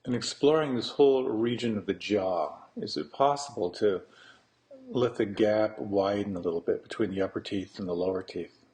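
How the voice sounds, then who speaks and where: Male meditation teacher, lowish voice, unhurried guided-practice delivery with deliberate pauses. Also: darkish tone. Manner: slow, deliberate, with long pauses between phrases.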